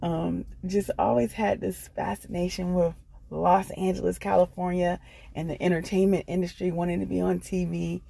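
A woman's voice: lowish voice, little pitch movement, moderate pace, vocal fry, warm tone, husky. A middle-aged American woman telling a personal story casually, hesitating and repeating phrases as she goes. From Washington, D.C. area, United States. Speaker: guest storyteller.

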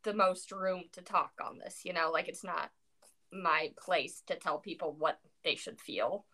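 A woman's voice: fairly low pitch, steady tone, unhurried, pushed and bright. A young woman chatting casually about poetry, rambling with many fillers and self-corrections, sounding tired. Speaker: co-host discussing poetry. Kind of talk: casual chat.

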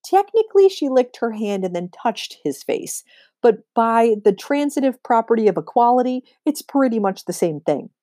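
A woman reading a children's novel aloud with expressive, emphatic storytelling. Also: a little high, moderate pace, no room sound, studio quality. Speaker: read-aloud reader.

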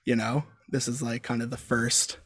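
A young adult man talking casually as a guest author, halting and full of fillers and restarts. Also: mid-range voice, level tone, a bit bright, slightly rough, dry recording, clean studio sound. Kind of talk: casual interview chat.

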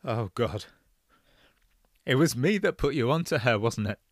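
An earnest adult son speaks directly and plainly, as a man reads him. The voice is slow and dry, close to the mic. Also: medium pitch, lively intonation, no echo, pitched above the performer's own voice.

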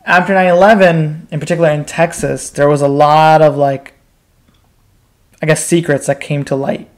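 A man's voice: on the high side, little pitch movement, normal speed. A man in his twenties with an American accent, telling his personal story candidly and informally as an interview guest, often checking in with "right?". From United States, Pakistan, Bangladesh and Qatar. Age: 20s. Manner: informal, uses frequent "like" and "right?" tags.

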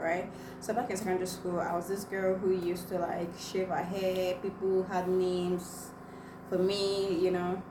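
A young woman whose first language is Igbo, chatting to viewers as she tells a personal story with many fillers. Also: lowish voice. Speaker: vlogger. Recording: echoey room, mic at a distance, noisy.

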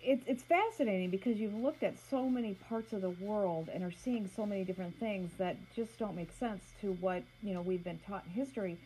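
A woman's voice: medium pitch, a little fast, few pauses, dark tone, slight background noise, poor audio. A woman hosting an interview, eagerly interjecting with questions. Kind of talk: casual interview talk.

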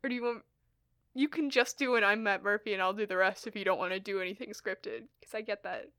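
A woman's voice: mid-range voice, fast talker, dry recording, studio quality. A young woman co-hosting a podcast in casual chat, laughing often as she talks. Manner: giggly, laughs often, animated. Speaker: podcast co-host.

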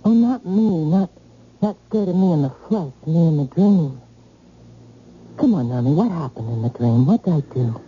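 A woman's voice: low-pitched, expressive, moderate pace. An actress playing a brash, flippant night-owl girlfriend in an old-time radio drama, with a breezy, slangy, teasing manner. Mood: teasing, probing. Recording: muffled, noisy old radio recording.

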